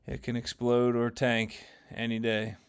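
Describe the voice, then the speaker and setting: A man hosting a casual market recap, talking through trades in a rambling, conversational way with lots of fillers while his voice grows hoarse. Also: mid-range voice, monotone, a little slow. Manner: conversational, rambling, many fillers and self-corrections. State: voice getting hoarse, losing his voice. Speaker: finance channel host.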